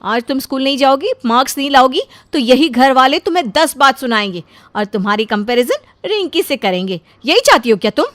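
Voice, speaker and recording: A woman playing a bitter, jealous young wife and mother in an animated moral story, scolding and sarcastic. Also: sits high, brisk, no echo. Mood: angry scolding.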